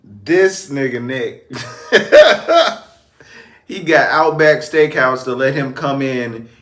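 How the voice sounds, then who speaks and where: A man's voice: medium pitch, lively intonation, very slow, a bit bright. A man giving casual, slangy reaction commentary in an amused, informal way. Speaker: reaction video host.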